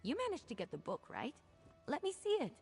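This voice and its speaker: Video game companion: eager young female mage, cheeky, animated delivery, music underneath. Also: on the high side, brisk, vocal fry, slightly rough, exaggerated voice. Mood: amused, cheeky. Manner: playful, expressive, chatty. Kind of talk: video game dialogue acting.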